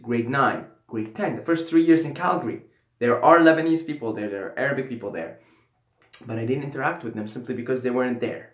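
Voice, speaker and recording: Young man, from Syria, casual rambling storytelling, mid pitch, echoey room. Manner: rambling, peppered with "right?" and "you know". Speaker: vlogger.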